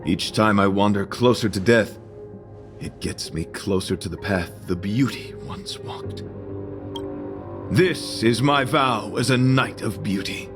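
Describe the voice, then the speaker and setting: A man's voice: fairly low pitch, takes it slowly. A man playing an earnest, courtly knight devoted to beauty in an English game dub, speaking in a formal, florid, theatrical and solemn way. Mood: fervent resolve. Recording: music behind.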